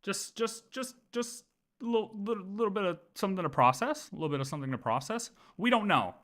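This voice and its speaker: A man's voice: high voice, lively intonation, quick pace, a bit bright. A man livestreaming casual game lore commentary, thinking aloud and often repeating his words as he works through ideas.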